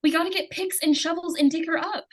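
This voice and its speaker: A woman's voice: very high voice, fairly even intonation, fast. A woman playing an earnest, pleading young girl in old-time radio suspense drama, urgent and insistent. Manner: urgent, breathless repetition and pleading. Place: live stage radio-play performance.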